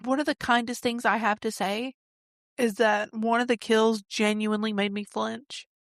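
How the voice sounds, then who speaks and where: Hoarse woman co-hosting a podcast. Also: a little high, level tone, normal speed, no room sound, clean studio sound. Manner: short eager agreements, playful. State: hoarse, losing her voice. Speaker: podcast co-host. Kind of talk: casual movie chat.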